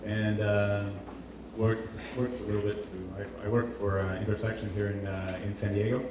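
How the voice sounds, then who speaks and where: Echoey, distant man presenting haltingly. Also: fairly low pitch, level tone. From Portugal. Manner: hesitant, repeats words as he searches for phrasing. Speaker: presenter, industrial designer. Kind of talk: informal conference presentation. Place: indoor event space with heavy echo. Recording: roomy, distant, noisy live hall recording.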